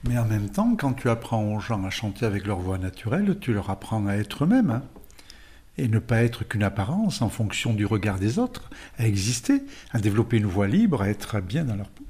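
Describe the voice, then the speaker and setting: A man hosting a podcast, asking short questions and giving soft backchannel replies. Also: lowish voice, sing-song, fairly quick, breathy edge. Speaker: podcast host. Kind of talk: interview.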